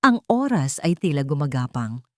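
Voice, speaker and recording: A woman's voice: low-pitched, big pitch swings, moderate pace, dark tone, no echo, clean studio sound. A woman narrator reading a scripted dramatic story.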